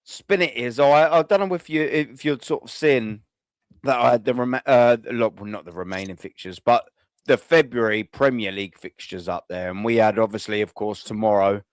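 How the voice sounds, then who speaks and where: A host running a call-in football show, rambling with friendly slang and frequent restarts. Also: male voice, medium pitch, normal speed, clear, resonant tone, no echo. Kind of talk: live call-in show hosting.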